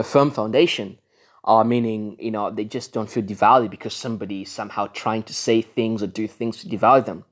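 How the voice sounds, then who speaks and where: A man from Africa giving reaction commentary on a video, lecturing his viewers with frequent restarts and fillers. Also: mid-range voice.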